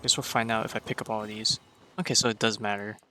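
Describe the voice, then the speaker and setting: A man's voice: fairly low pitch, level tone, quick pace, sharp tone. A man giving casual live commentary as a game streamer, rambling and thinking aloud. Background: faint game music.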